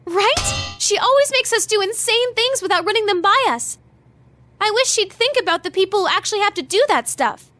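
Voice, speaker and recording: Very high, bright teenage idol girl. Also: normal speed, faint music behind, heavily acted. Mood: exasperated. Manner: gossipy, emphatic, expressive. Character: perky teenage girl pop idol, chatty and dramatic. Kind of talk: animated video game voice acting.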